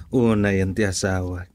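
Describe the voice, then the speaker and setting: Slow-talking, easygoing tipsy Ilocano husband. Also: male voice, lowish voice, gravelly, a little mellow. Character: easygoing, tipsy husband home late from drinking. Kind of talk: Ilocano radio drama acting.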